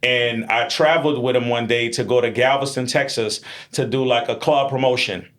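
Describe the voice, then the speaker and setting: A man, born in Honduras, talks with swagger and plenty of slang. His voice sits in an ordinary middle pitch. He sounds hyped and self-assured as a podcast guest. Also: moderate pace. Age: 30s. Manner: energetic, boastful, streetwise slang. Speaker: podcast guest, media founder. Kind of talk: casual interview chat.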